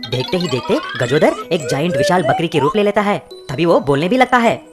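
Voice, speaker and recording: A woman narrating a children's cartoon story in an expressive storytelling way. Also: fairly low pitch, brisk. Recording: music bed behind.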